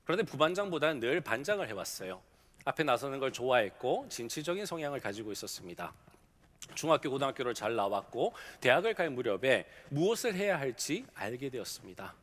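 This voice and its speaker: A middle-aged male pastor preaching a sermon, telling a story and dropping from loud to hushed. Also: mid-range voice, sing-song, fast talker. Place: large church sanctuary. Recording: long hall reverb.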